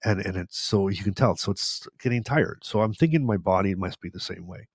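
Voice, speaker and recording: An older man with a fairly low voice speaks into a close studio microphone in a dry, dead room. He rambles openly through a live Q&A, addressing his listeners directly. His voice has gone a little tired and raspy. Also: brisk, no echo. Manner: rambling, warm-hearted, talks straight to viewers. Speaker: podcast host answering live questions. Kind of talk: live Q&A chat. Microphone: studio microphone with headphones.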